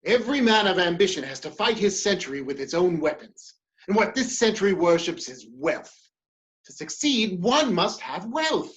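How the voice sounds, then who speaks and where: A woman's voice: fairly low pitch, very animated, normal speed. A woman playing a proud, ambitious male politician in a dramatic play reading, speaking with theatrical emphasis and defiance. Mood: earnest, fervent.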